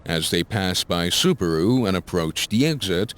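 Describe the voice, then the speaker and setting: A man's voice: low-pitched, expressive, normal speed, fairly bright tone, smooth. A man narrating a scripted fantasy story as a dramatic audiobook-style narrator.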